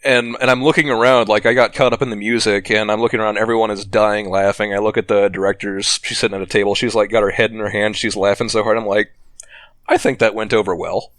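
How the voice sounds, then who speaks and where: A man's voice: fairly low pitch, flat delivery, fast, fairly bright tone, no echo. A man rambling through anecdotes as a podcast guest, chatty and laughing often. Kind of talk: casual podcast chat.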